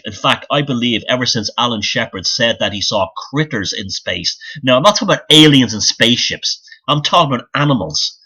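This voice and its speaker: A man's voice: mid-range voice, sharp tone, husky. A man giving an unscripted, opinionated monologue in a blunt, scornful, sweary manner, often repeating phrases for emphasis as he gropes for the next point. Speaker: YouTube commentator and author.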